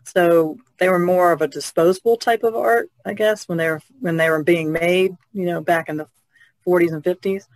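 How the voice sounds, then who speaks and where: A woman's voice: on the low side, steady tone, normal speed, dry recording, poor audio. A woman co-hosting a podcast, chatting casually in a tentative, hedging manner. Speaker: podcast co-host. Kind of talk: casual chat.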